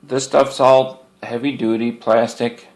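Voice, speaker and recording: Guy doing a laid out casual gear walkthrough, folksy and plainspoken, medium pitch, kinda rambles and repeats himself. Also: level tone, slow pace, a little mellow, rough recording. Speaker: hobbyist presenter showing radio antenna gear. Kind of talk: casual product show-and-tell.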